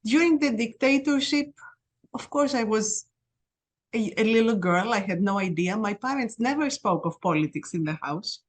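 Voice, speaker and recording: A woman, a podcast guest telling her life story in a reflective way, with pauses and restarts. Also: on the high side, animated. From Greece. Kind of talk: personal storytelling.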